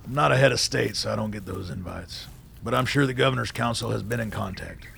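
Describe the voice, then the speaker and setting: A man playing a seasoned, plain-spoken army colonel in a radio drama, gravelly, measured and dryly understated. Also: lowish voice, fairly bright tone, slight background noise. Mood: dry, relaxed.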